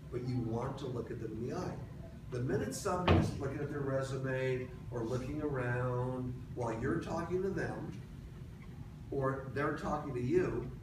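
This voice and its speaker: A man with a fairly high voice coaching an audience, talking in a direct, emphatic way and repeating key points. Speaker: speaker at a workshop for veterans. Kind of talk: coaching talk to a live audience. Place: large echoey room with an audience. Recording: roomy, distant, noisy.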